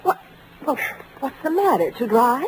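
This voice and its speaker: Animated, brittle society wife. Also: female voice, high voice, normal speed. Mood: coy. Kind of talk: 1940s radio melodrama acting. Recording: lightly noisy vintage radio recording.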